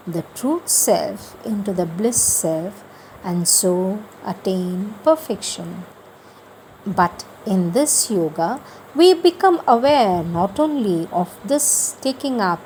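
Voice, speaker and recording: Woman, spiritual reader-teacher. Slow, deliberate, devotional delivery with emphatic phrasing, faint noise behind. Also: mid-range voice, darkish tone, poor audio. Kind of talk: devotional spiritual lecture with read-out passages.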